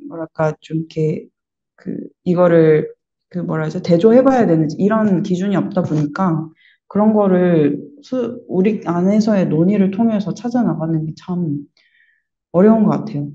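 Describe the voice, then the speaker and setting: A woman speaks in a fairly low, soft-edged, dark voice as she reflects in a group discussion. She is thoughtful and hesitant, searching for her words, and the recording sounds slightly dull and muffled. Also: normal speed. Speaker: member of a performing arts creative team.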